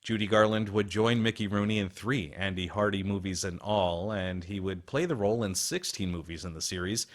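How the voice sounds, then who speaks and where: A male radio host delivers scripted documentary narration. His voice sits low. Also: a little fast, few pauses.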